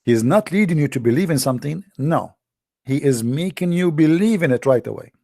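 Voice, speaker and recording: A man's voice: a little high, animated, fairly quick, gravelly, mellow, no room sound, studio quality. A man hosting a live call-in show, speaking in an emphatic, sarcastic, preaching way.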